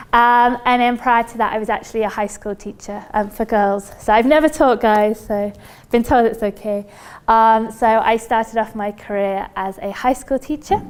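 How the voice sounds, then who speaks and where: Clear-voiced British woman presenting. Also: fairly high pitch. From United Kingdom. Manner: chatty, addressing an audience. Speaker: campus recruiter giving a company presentation. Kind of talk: informal workshop presentation. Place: lecture room at a university.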